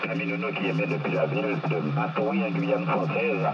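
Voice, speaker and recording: A man on a shortwave radio transmission, chatting casually as an amateur operator and repeating phrases for emphasis. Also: a little fast. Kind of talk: casual radio contact chat. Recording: muffled radio signal, loud static noise. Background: loud radio static.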